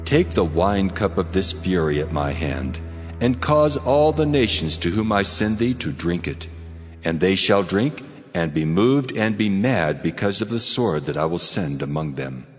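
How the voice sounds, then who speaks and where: Man voicing a deep, echoing, solemn God. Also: very animated. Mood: stern, commanding. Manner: stately, solemn, deliberate. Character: God of Israel, male, solemn, commanding, ancient deity. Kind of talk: dramatized scripture reading. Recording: cavernous long reverb over music.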